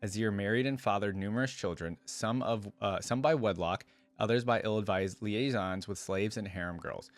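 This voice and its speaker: A man's voice: on the low side, normal speed, dry recording. A man hosting a reaction video, reading lore aloud and adding casual, musing commentary. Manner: informal, musing asides.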